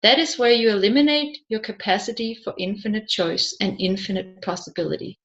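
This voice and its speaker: A woman reading a scripted audiobook in a measured, deliberate way, phrase by phrase. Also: mid-range voice, expressive, dull audio. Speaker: audiobook narrator.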